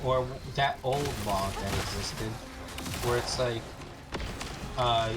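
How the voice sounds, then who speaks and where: A man's voice: medium pitch, slow pace, very noisy. A bilingual Spanish and English speaking man telling stories in casual chat, swearing freely. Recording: loud game music behind voice. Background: video game music, gunfire.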